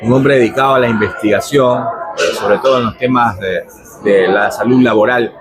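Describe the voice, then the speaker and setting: Man interviewing over loud noise. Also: medium pitch, steady tone, moderate pace. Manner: stumbling, repeats words. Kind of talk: local interview. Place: café during a power cut.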